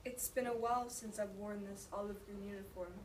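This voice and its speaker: A woman performing an ambitious army lieutenant in a deliberate, declamatory slam poetry recital. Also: medium pitch, fairly even intonation, lightly acted. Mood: smug. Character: ambitious, self-assured army lieutenant, later haunted. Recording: noisy, echoey room, mic far off.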